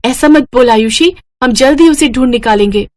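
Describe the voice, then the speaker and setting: A woman's voice: high voice, normal speed, voice pushed up, lightly acted. A woman voicing an affectionate, eager teenage schoolgirl in a moral story, with an emotional, pleading manner. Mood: reassuring, coaxing. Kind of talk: animated moral story character acting.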